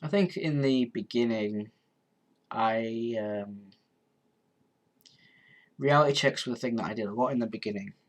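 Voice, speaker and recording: A congested young man talks loosely on his live stream. He sniffles through a blocked nose, pitched mid-range for a man. He rambles and trips over restarts, filling the gaps with ums. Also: unhurried, pauses often. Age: 20s. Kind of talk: casual live stream chat answering comments.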